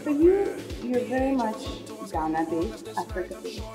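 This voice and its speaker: A woman's voice: on the high side, sing-song, a little slow. A woman hosting a show, presenting and interviewing guests. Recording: roomy echo with music behind.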